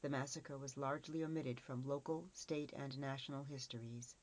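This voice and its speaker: Low-voiced woman narrating. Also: steady tone, moderate pace, darkish tone. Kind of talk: scripted narration of an encyclopedia article.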